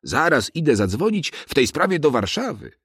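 An earnest nature teacher in a storybook, played by a man. He speaks up high and loud, with a lively, rising and falling line. The voice is husky and dry. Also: normal speed, dry recording, heavily acted. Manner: earnest, explaining loudly. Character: earnest male nature teacher, lecturing a crowd.

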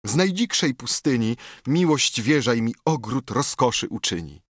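A man voicing a passionate, theatrical noblewoman who has been jilted, speaking with melodramatic, shrill outbursts. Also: lively intonation, moderate pace, husky, dry recording, clean studio sound. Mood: pleading.

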